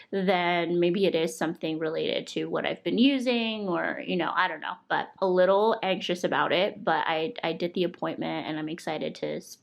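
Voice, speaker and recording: A woman's voice: lowish voice, vocal fry. A young woman from the United States with a congested, stuffy voice, chatting casually and cheerfully to her viewers. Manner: upbeat, chatty, thankful, many fillers like "like". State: congested from a cold. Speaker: vlogger reviewing monthly goals. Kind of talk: casual talk to camera.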